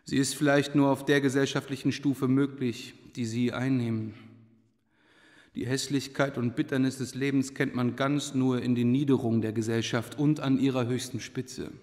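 A man's voice: mid-range voice, flat delivery, moderate pace, a little mellow, close to an everyday voice. Actor as a gracious, hesitant king, echoing hall. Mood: wistful, reflective. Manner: courtly, formal, with small hesitations. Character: king in his thirties, genial, gracious, hesitant, wistful. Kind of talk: literary radio play dialogue.

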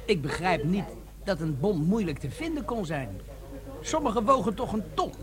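An actor playing a curious, eager schemer who is plotting a robbery in a Dutch radio play. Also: male voice, high voice, sing-song, moderate pace, crowd noise. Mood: curious, amazed.

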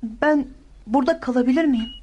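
High-voiced, meek little boy. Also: a little fast, mellow, slightly rough, lo-fi sound. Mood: earnest. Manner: polite, plaintive, childlike. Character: meek, earnest, respectful young boy seeking comfort. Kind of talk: radio theatre acting.

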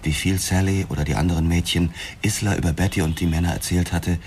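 An actor playing a driven, curt police detective in a German radio drama, speaking in a clipped, insistent way. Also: male voice, sits low, steady tone, fast talker, a little mellow. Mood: matter-of-fact. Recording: clear background noise.

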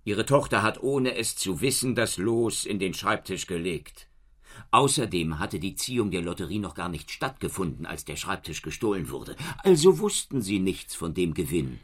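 An actor playing a world-weary, patient French police inspector in a radio drama, gravelly and matter-of-fact. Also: male voice, low-pitched, big pitch swings, normal speed. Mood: patient, resigned.

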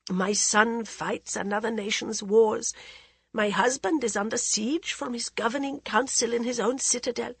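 A woman's voice: on the high side, fairly even intonation, moderate pace, creaky, breathy edge, no room sound, voice pushed up. A woman voicing a proud, desperate queen. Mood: pleading. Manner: pleading then defiant, formal speech.

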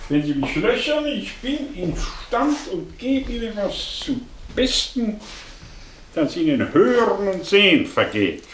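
Radio drama casting: vain, sardonic older professor, slow and high-pitched for a man, wildly animated delivery. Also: a little hiss or hum, exaggerated voice. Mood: taunting. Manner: theatrical, sardonic, grandiloquent. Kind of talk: 1960s German radio play acting.

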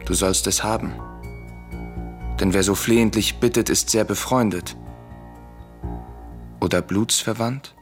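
An actor playing a courteous, composed stranger in a classical radio drama, speaking in a formal, measured manner. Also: male voice, lowish voice, fairly even intonation, soft background music, lightly acted. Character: courteous, composed male stranger bearing an urn.

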